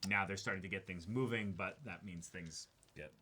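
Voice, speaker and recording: A man's voice: sits low, normal speed, pushed and bright, husky. A young man co-hosting an unboxing show, reading a letter aloud with casual asides and now and then stumbling and correcting himself. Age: young adult. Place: small indoor room, seated before a backdrop.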